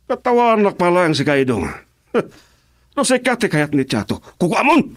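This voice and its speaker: A man's voice: sits high, very animated, normal speed. A man with an Ilocano Filipino accent playing a joking, rowdy gang henchman in a radio drama.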